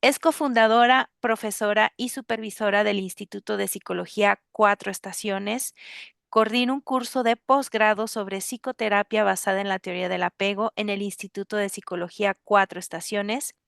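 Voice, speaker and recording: A woman hosting an interview, earnest and pausing often with fillers. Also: medium pitch, fairly even intonation, creaky, a bit bright, no echo, studio quality. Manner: earnest, with many filler pauses. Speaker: host.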